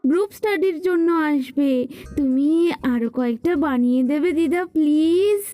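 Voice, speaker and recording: A woman voicing a cheerful young boy who talks in a sweet, pleading, excitable way. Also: sits high, moderate pace, dry recording.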